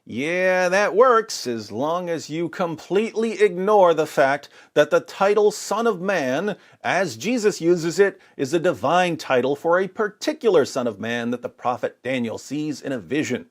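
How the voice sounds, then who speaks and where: A man's voice: on the high side, lively intonation, unhurried, few pauses. A man giving polemical religious commentary with scripture readings, in an emphatic, sarcastic and theatrical way.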